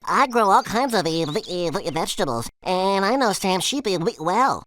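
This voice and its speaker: A man's voice: high-pitched, very animated, a bit bright, dry recording. A man voicing a timid, friendly, dim pig farmer in a cartoon video game, bumbling along with a heavy stutter. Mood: bumbling, helpful. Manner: hesitant, bumbling, halting. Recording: slightly noisy game audio capture.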